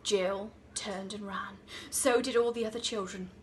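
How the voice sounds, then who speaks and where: A woman reading a children's story like an infant school teacher, expressive and with dramatic emphasis. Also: medium pitch, unhurried, a little hiss or hum.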